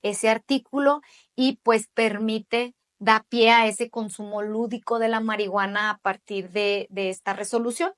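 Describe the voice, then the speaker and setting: Higher-pitched Mexican woman explaining in an interview. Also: fairly even intonation, a little slow. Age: late 30s. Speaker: interviewee, judicial candidate.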